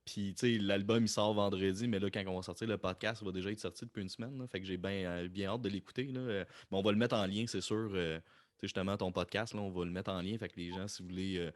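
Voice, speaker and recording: A man's voice: low-pitched, fairly even intonation, fast, dry recording, studio quality. A man with a Québécois accent hosting a podcast, easygoing and chatty. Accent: Québécois French. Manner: easygoing, laughs while talking. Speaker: podcast host. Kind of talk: casual chat.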